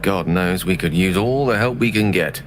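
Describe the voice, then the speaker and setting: A man as a low, eloquent wizard. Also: slight background noise, natural voice. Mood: thoughtful. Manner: eloquent, measured, scholarly. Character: courteous, bookish, verbose male wizard. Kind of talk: video game character acting. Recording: music behind the voice.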